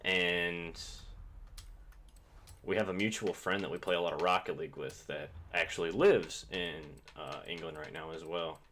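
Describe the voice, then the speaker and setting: A man's voice: low-pitched, steady tone, normal speed, fairly bright tone, a little hiss or hum. A young man from Kentucky, chatting casually and rambling with plenty of swearing while streaming a game. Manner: rambling, profane, laid-back.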